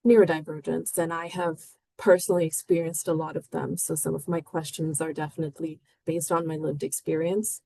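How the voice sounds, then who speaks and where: A woman's voice: sits low, darkish tone, no echo, poor audio. A woman from Bangladesh moderating a panel discussion, hesitant and full of fillers.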